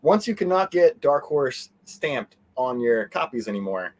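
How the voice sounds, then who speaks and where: A man of average pitch speaks as a guest in a casual interview. He rambles conversationally, often breaking off and circling back as he explains things. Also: very animated, unhurried.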